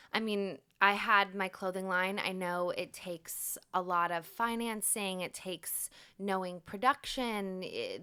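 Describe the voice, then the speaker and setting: A woman's voice: on the low side, sharp tone, smooth. A woman hosting a casual interview, talking in an effusive, informal, chatty way. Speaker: interviewer, host.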